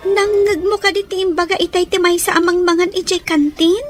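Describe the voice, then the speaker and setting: A woman's voice: very high-pitched, steady tone, brisk, soft background music. A woman playing an earnest young schoolgirl in an audio drama, speaking deferentially as a trusting little sister. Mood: earnest, worried.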